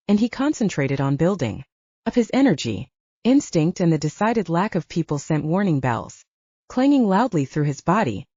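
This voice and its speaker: A woman narrates a novel in a mellow, fairly low voice with very animated intonation. The recording is dry and slightly dull, with little room sound. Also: no room sound. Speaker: audiobook narrator. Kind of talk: scripted fiction narration.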